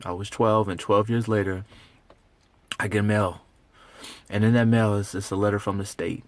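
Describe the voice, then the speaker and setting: Man acting a grieving, bitter son, halting delivery. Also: fairly low pitch, fairly even intonation, no room sound, close to an everyday voice. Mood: bitter, anguished. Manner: halting, emphatic repetition with heavy pauses. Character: grieving, bitter grown son of an executed innocent father. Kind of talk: dramatic stage monologue.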